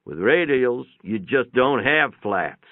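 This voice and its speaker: A man's voice: medium pitch, animated, slow pace, no room sound, voice pushed up. A man voicing a pompous know-it-all brother in a story, lecturing as if to a crowd, on a tinny recording. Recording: tinny phone-like sound.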